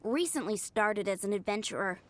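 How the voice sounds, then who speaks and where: A woman's voice: mid-range voice, animated, fairly quick, a bit bright, no room sound, natural voice. An actress playing an earnest, eager young woman training to be a knight in an anime game. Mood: apologetic, friendly.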